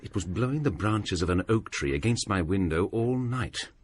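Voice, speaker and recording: A prim young scholar. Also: male voice, on the low side, expressive, moderate pace, husky. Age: young adult. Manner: precise, prim, reproving. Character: bookish young male scholar, fussy and self-assured. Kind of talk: radio drama acting.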